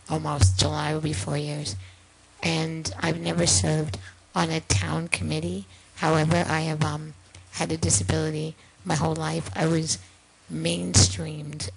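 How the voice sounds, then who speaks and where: A hoarse woman with a low, gravelly voice addresses a town board through a microphone. Her delivery stays flat and steady as she makes her case plainly. A faint noise sits under the recording. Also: poor audio. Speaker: applicant for a town commission. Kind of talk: public statement at a board meeting. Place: town board meeting room.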